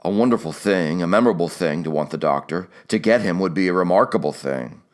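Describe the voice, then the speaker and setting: A man narrating a literary audiobook in a measured, dramatic storytelling manner. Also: deep voice.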